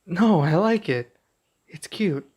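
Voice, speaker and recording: A man playing a young king and doting expectant father in an intimate ASMR roleplay, speaking softly and affectionately with some hesitation. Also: sits high, lively intonation, slow, heavily acted. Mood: playful, affectionate. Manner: soft, affectionate, hesitant delivery.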